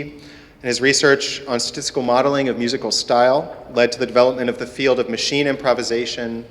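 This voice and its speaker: A man serves as a conference moderator, introducing speakers from prepared notes. His voice sits at a middle pitch. It rings with the long reverb of a large hall. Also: a bit bright. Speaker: panel moderator. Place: conference hall at a university symposium.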